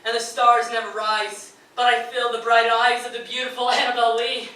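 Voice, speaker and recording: A man's voice: extremely high pitch, flat delivery, moderate pace, sharp tone. A man playing a grief-stricken, nervous poet mourning his wife, reading fervently and theatrically on stage. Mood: yearning, fervent. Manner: fervent, declamatory, swelling into anguished outbursts. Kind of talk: theatrical dramatic reading of poetry and letters. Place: live performance in a radio station's performance room. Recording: very echoey live room, distant mic, faint noise.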